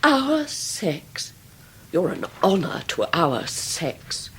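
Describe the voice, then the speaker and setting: An actress playing a scornful upper-class English Victorian lady in a radio drama, with a breathy, biting, theatrical delivery. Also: fairly low pitch, big pitch swings, very slow, fairly bright tone, slight background noise, heavily acted. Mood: cold contempt. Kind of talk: 1990s radio drama acting.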